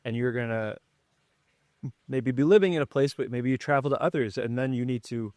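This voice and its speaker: A man hosting a podcast, giving relaxed, rambling advice in casual conversation. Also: medium pitch, fairly quick, no echo, clean studio sound. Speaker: podcast host.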